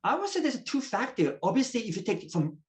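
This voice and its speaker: A man in his thirties, a native Korean speaker raised in Russia, explaining as a guest professor in measured, lecture-like answers. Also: high voice, sing-song. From South Korea, raised in Russia. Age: 30s.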